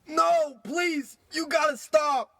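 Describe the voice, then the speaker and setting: A man's voice: very high voice, slow pace, gravelly, bright, forceful tone, no echo, exaggerated voice. A man playing an exasperated small-time crook in a parody old-time radio play, whining and pleading in old gangster slang. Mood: desperate pleading.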